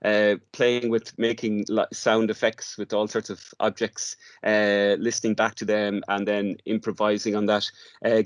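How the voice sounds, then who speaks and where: Low-voiced man, halting delivery. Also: no echo. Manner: halting, with many restarts and repeated words. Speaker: drama workshop facilitator, interview guest. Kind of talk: informal interview, explaining work.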